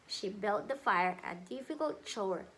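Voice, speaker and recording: Slow, gentle woman teacher reading aloud. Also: mid-range voice, lively intonation, low-quality recording. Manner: slow, gentle, asks the child questions. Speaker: pre-K teacher. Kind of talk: storybook read-aloud to a child.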